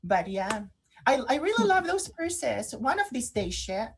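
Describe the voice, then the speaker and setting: A woman's voice: sits high, very animated, a little slow, noisy background. A woman co-hosting a fashion talk show in casual chat, enthusiastic and repeating phrases for emphasis.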